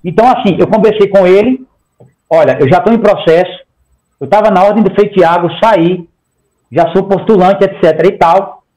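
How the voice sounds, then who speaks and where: Guy around forty on a headset video call, high voice, talks with his hands, keeps saying tá. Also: normal speed, darkish tone, low-quality recording. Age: 40s. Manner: emphatic, gestures a lot, talks in long runs with repeated "tá?". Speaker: interview guest. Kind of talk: animated video-call interview. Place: home room.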